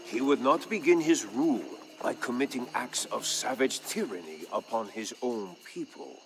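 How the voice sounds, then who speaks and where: Grave, theatrical veteran war chronicler. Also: male voice, mid-range voice, animated, creaky, a little hiss or hum, rough recording. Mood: solemn, stirring. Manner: measured, grave, theatrical delivery. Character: thoughtful veteran male war chronicler recounting past campaigns. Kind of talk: dramatic scripted storytelling narration for a game. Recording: music behind the voice. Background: background music.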